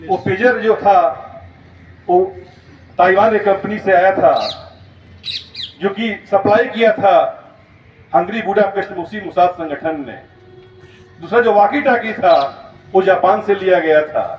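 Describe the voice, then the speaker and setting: A man's voice: sits high, a little slow, pauses often. A man speaking as a school principal, giving an emphatic, step-by-step explanatory lecture in storytelling style. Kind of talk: motivational school lecture, storytelling about current events. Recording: roomy, distant, muffled, noisy recording.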